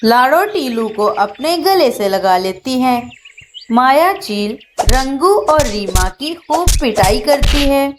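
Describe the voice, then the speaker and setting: High-pitched woman narrating children's stories. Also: expressive, takes it slowly, a little hiss or hum. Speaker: cartoon story narrator. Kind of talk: scripted children's story narration.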